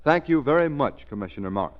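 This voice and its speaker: Brisk old-time radio announcer, a man. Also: medium pitch, animated. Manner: brisk, polished, formal. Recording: tinny 1930s radio broadcast.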